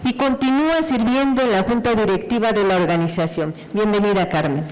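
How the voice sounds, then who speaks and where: A woman's voice: mid-range voice, fairly quick, darkish tone, a little hiss or hum, low-quality recording. A woman moderating a conference panel, reading a formal introduction of the next speaker. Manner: reads a formal bio. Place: conference hall.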